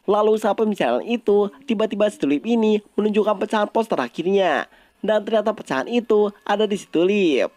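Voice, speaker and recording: A man's voice: high-pitched, animated, a little fast, darkish tone, no room sound, soft background music. A man with an Indonesian accent narrating a story recap in a casual, colloquial storytelling style.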